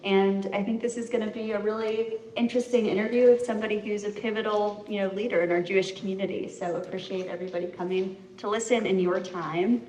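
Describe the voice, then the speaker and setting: A woman's voice: medium pitch, fast, few pauses, slight background noise. A woman hosts a live interview at a community center. She talks in a friendly, easygoing way, full of "you know." Her voice is mid-range and comes from a distance through an echoey room. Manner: friendly, relaxed, many fillers like you know. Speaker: interviewer, series host. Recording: echoey room, far from mic.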